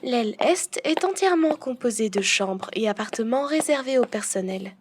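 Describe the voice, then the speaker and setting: A woman playing a strict, dutiful head housekeeper in a French audio roleplay, speaking in a prim, formal, measured way. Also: fairly high pitch, expressive, noisy background, natural voice.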